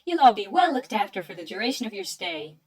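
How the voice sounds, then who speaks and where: Chirpy, officious robot played by a woman, animated. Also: mid-range voice, quick pace, distant mic, heavy background noise, close to an everyday voice. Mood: brightly officious. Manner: over-polite, formal, perky. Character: chirpy, officious female robot messenger with forced friendliness. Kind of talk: radio play acting.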